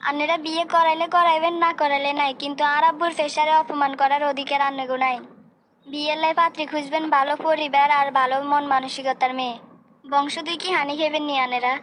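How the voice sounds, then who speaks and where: A woman's voice: sits high, fairly bright tone, a little hiss or hum. A woman with a Noakhali Bengali accent voicing a dignified, outspoken young bride-to-be in a comic cartoon. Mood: indignant, proud. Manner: polite but firm.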